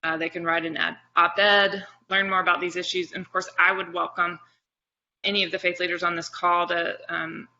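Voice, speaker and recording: Woman giving a webinar talk, really sharp voice, kinda lo-fi sound. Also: lowish voice, flat delivery, moderate pace. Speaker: faith advocacy organizer, panelist. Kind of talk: webinar presentation. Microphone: video call. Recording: dull lo-fi webinar audio.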